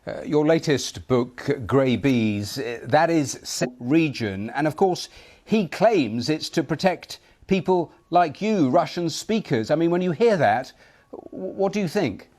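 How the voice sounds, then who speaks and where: A man presenting the news and interviewing a guest, pausing with fillers as he builds his questions. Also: a little high, expressive, a little mellow.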